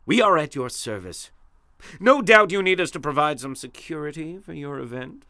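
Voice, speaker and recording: Pompous, bright-voiced superhero. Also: male voice, on the high side, lively intonation, normal speed, dry recording, clean studio sound. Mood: dutiful. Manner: grandiose, formal, declamatory. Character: earnest, pompous male superhero, noble and dutiful. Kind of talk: comic radio-serial superhero acting.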